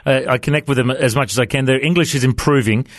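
A male radio host asks his questions with eager enthusiasm, padding them with hesitant fillers. His voice sits at an ordinary middle pitch. The recording is dry and close, with no room sound. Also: fast, no echo. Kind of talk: radio interview.

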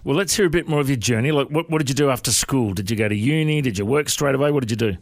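A man's voice: mid-range voice, rapid-fire, no room sound, clean studio sound. A man with an Australian accent, hosting a casual interview. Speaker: interview show host.

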